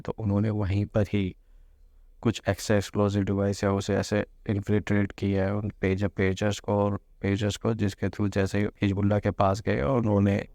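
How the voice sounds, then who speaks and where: Man, informal news commentary, flat delivery, dry close recording, English terms mixed in. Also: on the low side, gravelly, no room sound. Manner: mixes Hindi with English terms. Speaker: news explainer host.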